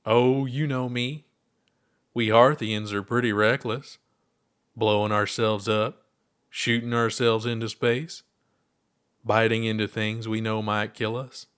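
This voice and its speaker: A man's voice: on the low side, level tone, takes it slowly, long pauses, fairly bright tone, smooth. Man voicing a plucky, folksy alien space explorer. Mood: witty, breezy.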